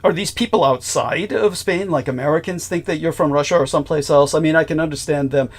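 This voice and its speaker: A man's voice: a little high, fast. A man hosting a podcast conversation, formal and effusive, often restarting his sentences. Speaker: host.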